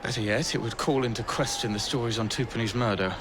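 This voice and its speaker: A man playing a fretful but polite Victorian gentleman in a video game cutscene. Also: mid-range voice, fast, noisy background, lightly acted. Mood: worried.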